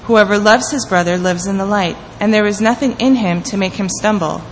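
Woman, prayer leader, earnest steady reading, church reverb, faint background noise. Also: medium pitch, a little fast. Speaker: scripture reader and prayer leader. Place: church sanctuary.

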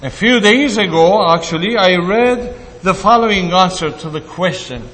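A man preaching a sermon in a slow, deliberate way, with pauses and fillers, in a church. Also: sits high, crowd noise. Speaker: pastor. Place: church sanctuary. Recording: church hall reverb.

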